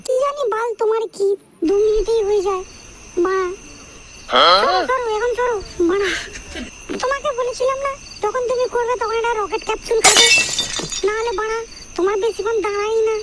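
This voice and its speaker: A very shrill, high Bengali cartoon sister-in-law acted by a woman, bossy and emotional, who nags and pleads. Loud insect noise runs behind her voice. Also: brisk. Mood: scolding. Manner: nagging, scolding, pleading. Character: young married Bengali sister-in-law, sulky, bossy, foul-mouthed lover. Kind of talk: comedy cartoon dialogue.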